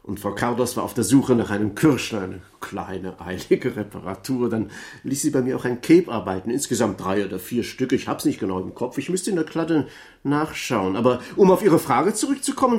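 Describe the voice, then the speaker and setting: Actor as evasive, flustered furrier, lively pitch swings. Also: male voice, moderate pace, lightly acted. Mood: eager, talkative. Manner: hesitant, defensive, over-explaining. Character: evasive, flustered male furrier, politely talkative shopkeeper. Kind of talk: German radio crime drama acting.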